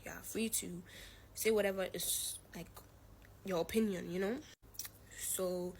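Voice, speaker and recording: A woman's voice: medium pitch, fairly bright tone, a little hiss or hum, poor audio. A teenage young woman telling a personal story in a candid, blunt, emphatic way, repeating phrases and using slang. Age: teens, 17. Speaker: student telling own story.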